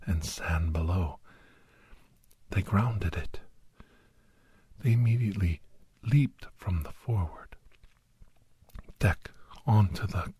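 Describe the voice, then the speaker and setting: A man narrating a suspenseful first-person ASMR story in a hushed, half-whispered voice with dramatic pauses. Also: low-pitched, breathy edge, no echo. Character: doctor recounting a monster-hunting adventure, grave and awed.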